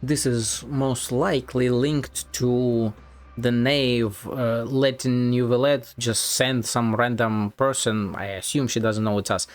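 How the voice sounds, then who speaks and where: A woman's voice: very deep voice, fairly even intonation, slow. A woman giving casual, wry game commentary, musing aloud as she plays. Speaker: game streamer.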